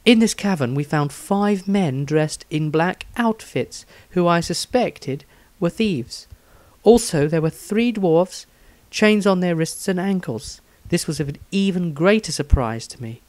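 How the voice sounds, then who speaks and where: A man voicing a worried, thoughtful young woman healer reading out her diary in a measured, earnest way in a fantasy audiobook. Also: high-pitched, animated, darkish tone, no room sound, pitched above the performer's own voice. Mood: observant, surprised.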